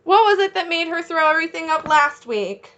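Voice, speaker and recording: A woman reading a picture book aloud as a children's teacher, encouraging young listeners with questions and pausing for their answers. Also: extremely high pitch, expressive, fairly bright tone, lo-fi sound.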